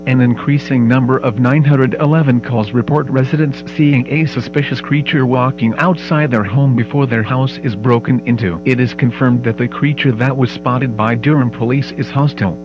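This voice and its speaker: A man's voice: mid-range voice, steady tone, moderate pace, few pauses, lightly acted. A man's voice as a grave automated civil emergency announcer, reading formal scripted instructions on a lo-fi broadcast. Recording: dull lo-fi broadcast, loud mains hum.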